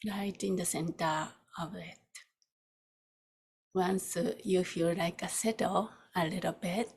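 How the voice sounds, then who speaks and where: Female meditation teacher, lowish breathy voice, very slow and soft with long pauses. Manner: soft, unhurried, with long pauses between short phrases. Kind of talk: guided meditation.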